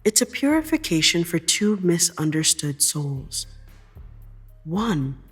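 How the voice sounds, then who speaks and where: Woman narrating fiction over music, measured. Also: fairly low pitch, very animated, breathy edge. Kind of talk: scripted second-person fiction narration.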